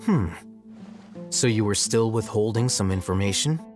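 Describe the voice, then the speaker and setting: A man playing a cool, cerebral young scribe in a video game, speaking in a measured, detached, academic way. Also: fairly low pitch, animated, music in the background, natural voice. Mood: cool, probing. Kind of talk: scripted game dialogue.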